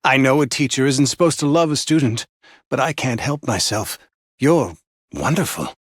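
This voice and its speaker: Husky, sinister doting teacher played by a man. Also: medium pitch, moderate pace, no room sound, clean studio sound, close to an everyday voice. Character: sinister, doting male teacher praising a favoured student. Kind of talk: scripted video game voice lines.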